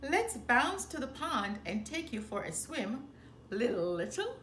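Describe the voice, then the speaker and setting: A woman's voice: on the high side, big pitch swings, a little slow, fairly bright tone, echoey room, a little hiss or hum. A woman voicing a boisterous, bouncy male tiger from a children's storybook, exuberant and hooting.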